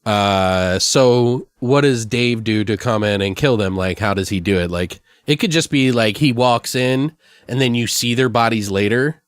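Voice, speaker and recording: A man's voice: mid-range voice, unhurried, clear, resonant tone, no room sound, studio quality. A man hosting a podcast, brainstorming in a loose, rambling, slangy and profane way. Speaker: podcast host. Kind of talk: casual brainstorming chat.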